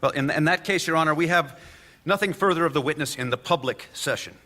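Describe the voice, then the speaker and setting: High, bright-voiced cross-examining lawyer. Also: male voice. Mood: probing, pointed. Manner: pointed, deliberate questioning. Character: probing, methodical male defense attorney cross-examining. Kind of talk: radio play acting.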